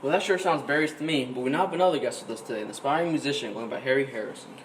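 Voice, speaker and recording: A very quick, businesslike 1920s radio host acted by a man moves the show along with folksy period slang. The recording is amateur, with light noise behind the voice. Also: medium pitch, lightly acted, poor audio. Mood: upbeat.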